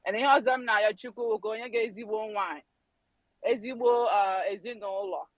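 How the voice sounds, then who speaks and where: A woman's voice: on the high side, fairly quick, no echo, low-quality recording. Playful woman, casual chatter and prayer, dry close sound. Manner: laughing, playful, prays in Igbo. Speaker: Twitter Space speaker. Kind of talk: casual chat, prayer in Igbo.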